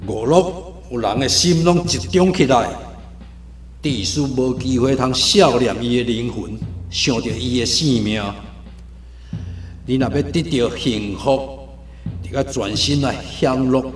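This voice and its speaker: A man's voice: mid-range voice, unhurried, long pauses, close to an everyday voice. A man with a Taiwanese accent playing a coaxing, commanding tempter and vice-street master in a radio drama. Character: tempting gambling-den tout and domineering dark master. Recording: roomy echo, music behind.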